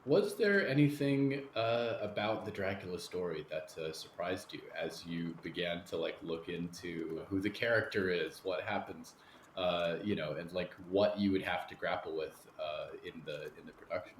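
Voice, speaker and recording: Low-voiced male host in a roomy space. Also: normal speed. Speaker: podcast host, interviewer. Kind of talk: conversational interviewing. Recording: roomy sound with faint noise.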